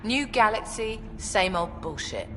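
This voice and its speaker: A woman's voice: mid-range voice, big pitch swings, unhurried, a bit bright, long reverb tail, a little hiss or hum. A woman playing a hardened, short-tempered outlaw leader in a video game, speaking in a blunt, menacing way. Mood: angry, defiant. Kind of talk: video game cutscene acting.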